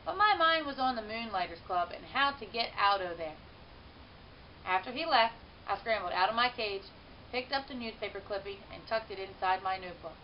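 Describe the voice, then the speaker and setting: A woman reads a children's story aloud in an expressive, animated way, stretching words for emphasis. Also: mid-range voice, moderate pace. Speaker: teacher reading a children's book aloud. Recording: muffled, hissy home-style recording.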